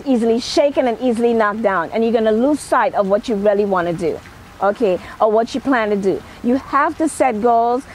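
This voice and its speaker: High-voiced Trinidadian woman, emphatic. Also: darkish tone, a little hiss or hum, low-quality recording. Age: middle-aged. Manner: emphatic, punctuated with "okay". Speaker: celebrity hairstylist and makeup artist. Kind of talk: motivational personal talk to camera. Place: outdoor patio at night.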